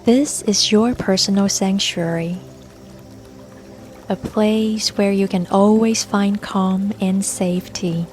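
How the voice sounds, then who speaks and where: A woman leading a scripted guided meditation in a gentle, soothing, unhurried manner. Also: mid-range voice, fairly even intonation. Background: soft music and babbling brook sounds.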